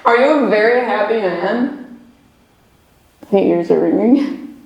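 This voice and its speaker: A woman's voice: medium pitch, expressive, slow pace, vocal fry, darkish tone, highs cut off. A young woman, a paranormal investigator, chatting casually and rambling with lots of fillers and giggles. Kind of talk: casual chat on a ghost hunt. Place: old basement room with echo. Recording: echoey basement room.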